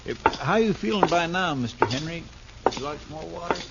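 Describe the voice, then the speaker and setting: An actor playing an eager, deferential, folksy marshal's helper in a radio western. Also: male voice, on the high side, expressive, normal speed, gravelly. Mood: obliging. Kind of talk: 1950s radio western acting. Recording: muffled radio recording, loud noise.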